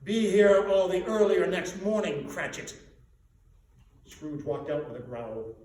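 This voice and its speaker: A man's voice: a little high, a little mellow. A man narrating as a storyteller in a dramatic reading of literary fiction. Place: echoing room at a live reading. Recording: very echoey room, distant mic, faint noise.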